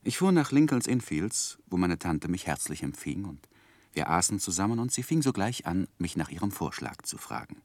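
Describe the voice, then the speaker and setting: Earnest, very low-voiced young gentleman. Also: very animated, dry recording. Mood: reflective, narrating. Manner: measured, literary, earnest. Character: earnest, polite young gentleman narrating his own life. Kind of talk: 1960s German radio drama, literary narration.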